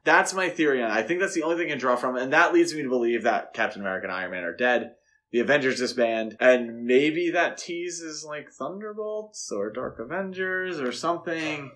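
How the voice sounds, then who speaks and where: A man with a middle-pitched voice talks as a podcast co-host. He is casual and conversational, thinking out loud as he speculates. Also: fairly quick. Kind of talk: casual film discussion podcast.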